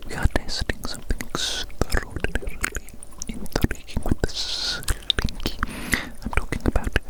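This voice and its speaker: ASMR roleplay: female performer as self-satisfied mad scientist, fully whispered, condescending, savours repetition. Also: lightly acted. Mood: intrigued, instructive. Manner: repeats phrases slowly, savouring them, condescending. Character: gloating evil mad scientist doctor, obsessed with experiments.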